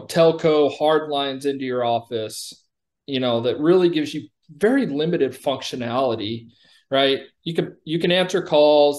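A middle-aged American man speaks on a video call as an executive being interviewed. He explains his product in a conversational pitch, step by step, often tagging points with a checking "right?". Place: indoor room, on a video call.

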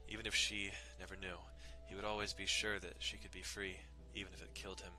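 A man's voice: deep voice, level tone, quick pace, sharp tone, faint music behind, rough recording. A man giving a dramatic reading of a visual novel as its narrator. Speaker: dramatic reader.

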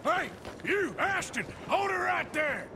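An actor playing a gruff, world-weary American outlaw in a video game, with a blunt, folksy drawl. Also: male voice, extremely high pitch, sing-song, slow pace, raised voice, full character voice. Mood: angry, shouting. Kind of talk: dramatic video game acting.

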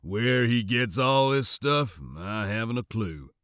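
A man voicing a serious, wary stormtrooper who speaks slowly and firmly in an audiobook. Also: medium pitch, lively intonation, a bit bright, dry recording, studio quality. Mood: matter-of-fact. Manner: slow, firm, serious. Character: grave, wary male stormtrooper, guarded and cautioning.

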